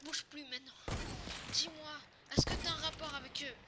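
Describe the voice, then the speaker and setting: A young woman playing a forceful, confused half-zombie survivor in an improvised game roleplay, speaking informally and haltingly with repetitions. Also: high-pitched, fairly even intonation, close to an everyday voice. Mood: threatening. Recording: noisy home recording with clicks.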